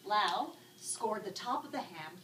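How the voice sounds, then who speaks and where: A woman narrating a written story in an expressive, arch, dramatic style. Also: mid-range voice, unhurried. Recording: echoey room, distant mic, background noise.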